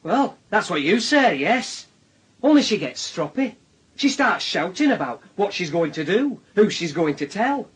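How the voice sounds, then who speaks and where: An actor playing an insinuating police detective in a radio drama, sardonic and taunting, husky. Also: male voice, high-pitched, very animated, measured pace, full character voice. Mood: needling. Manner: sardonic, taunting, leading questions. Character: insinuating police detective, needling a young suspect.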